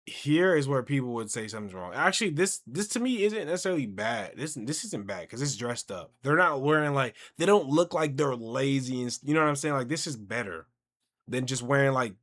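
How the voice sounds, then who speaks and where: A young man hosting a casual reaction commentary, talking in an animated, conversational, slangy way. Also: medium pitch, brisk. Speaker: reaction video host.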